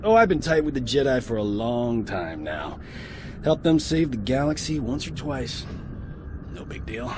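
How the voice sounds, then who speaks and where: An actor playing a cocky, irreverent doctor in a video game, talking in a breezy, wry, informal way. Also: male voice, medium pitch, big pitch swings, a little slow, noisy background. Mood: breezy, boastful. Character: cocky, irreverent male doctor, roguish and grumbling. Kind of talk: dramatic video game acting.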